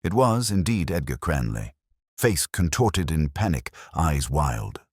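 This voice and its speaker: A man narrating a scripted mystery story in a measured, formal way. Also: very deep voice, sing-song, breathy edge, no echo, clean studio sound. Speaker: audiobook narrator.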